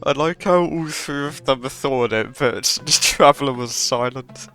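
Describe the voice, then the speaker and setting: A man's voice: mid-range voice, measured pace, pushed and bright. A man streaming a video game, giving casual off-the-cuff commentary. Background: game music.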